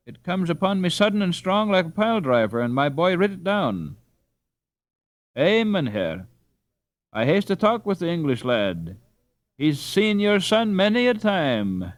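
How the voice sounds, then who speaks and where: Guy doing a humble dutch peasant dad in a storybook, halting, earnest, pitch wanders a lot. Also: sing-song, dry recording. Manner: halting, deferential.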